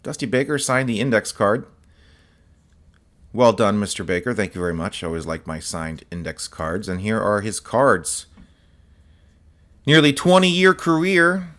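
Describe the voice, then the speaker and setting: A man with a slightly hoarse, scratchy voice, chatting casually as host while showing autographed sports cards. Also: fairly low pitch, measured pace, long pauses. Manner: relaxed, chatty, many fillers and asides. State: slightly hoarse, voice partly lost. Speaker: host of a card-collecting channel.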